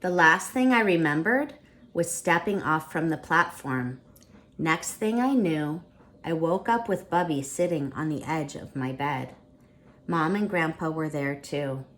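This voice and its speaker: A woman reading a children's storybook aloud as the storyteller, with expressive, dramatic narration. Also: deep voice, unhurried, a little hiss or hum.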